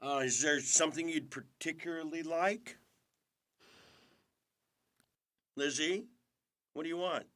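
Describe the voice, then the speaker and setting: An actor playing an aging movie star in an audio drama, a gentle, wry and understated older brother. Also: male voice, fairly high pitch, moderate pace, no room sound, lightly acted. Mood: attentive, dry.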